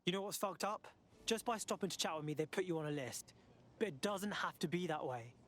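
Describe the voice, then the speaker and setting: A man's voice: high voice, brisk, fairly bright tone. A man playing a casual, irreverent hacker recruit in a video game, with offhand, colloquial delivery.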